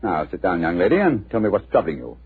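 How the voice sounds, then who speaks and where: An actor playing an incisive, imperious British detective, clipped and wry, in a 1940s radio drama. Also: male voice, sits low, big pitch swings, fast talker, breathy edge, dry recording, exaggerated voice. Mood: courteous. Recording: tinny, lo-fi 1940s radio recording.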